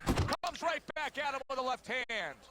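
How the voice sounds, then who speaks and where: An excitable male boxing commentator from a video game, calling the fight in a high voice that swings up and down. His play-by-play sits in loud fight noise. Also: a little slow. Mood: excited.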